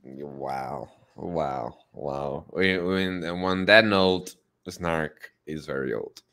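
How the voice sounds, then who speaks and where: A man's voice: extremely low pitch, extremely slow, a bit bright. A man in his twenties hosting a show over a headset from home, teasing his co-host in casual chat. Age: 20s. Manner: teasing, playful. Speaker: podcast host. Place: home room. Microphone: headset on video call.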